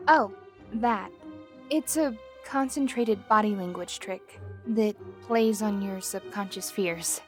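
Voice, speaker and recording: A woman's voice: mid-range voice, slow pace, faint music behind, natural voice. A woman playing a proud, guarded young female unicorn in a scripted audio drama, speaking with formal, precise wording. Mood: explaining, subdued.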